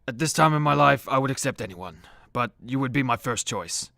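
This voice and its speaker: A man's voice: medium pitch, expressive, brisk, creaky, bright, forceful tone, no echo, studio quality. A man playing a weary, hard-drinking immortal Greek superhero in a comedy radio play, hesitant, sheepish and self-deprecating. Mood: shy, tender.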